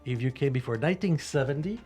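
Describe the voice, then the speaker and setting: An older man born in Cuba, co-hosting a casual radio chat, animated and full of restarts. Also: mid-range voice, quick pace, faint music behind. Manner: animated, restarts often, rising when stirred. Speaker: co-host.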